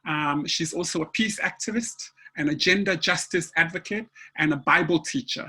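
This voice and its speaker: A woman's voice: on the low side, a bit bright, breathy edge. A woman from Samoa moderating an online seminar panel, emotional and speaking with many fillers, over a video call.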